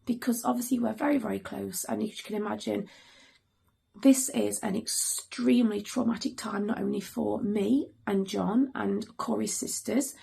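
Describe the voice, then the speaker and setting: A hoarse woman in middle age speaks earnestly to her viewers with lots of pauses and ums. Her voice is heavily gravelly and deeply raspy, and it sits a little high for a woman. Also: darkish tone. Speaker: mother and channel host. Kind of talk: earnest serious vlog talk to camera.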